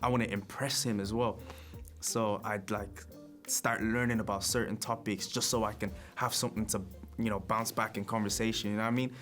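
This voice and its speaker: Low, flat-voiced young man. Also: brisk, faint music behind. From Nigeria. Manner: relaxed, reflective, chuckles often. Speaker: rapper. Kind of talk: documentary interview.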